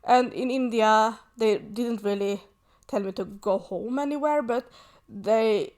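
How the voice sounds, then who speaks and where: Woman, fairly high voice, unhurried, earnest and reflective, solo podcast. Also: darkish tone. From Sweden. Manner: earnest, reflective, pausing often. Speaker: podcast host. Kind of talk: personal reflective monologue.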